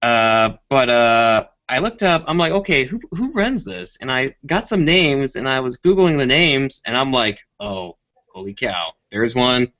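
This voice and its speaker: A man's voice: medium pitch, lively intonation, measured pace, fairly bright tone. A man chatting casually as a participant in a group online call, with dull, lo-fi sound. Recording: dull lo-fi internet call audio.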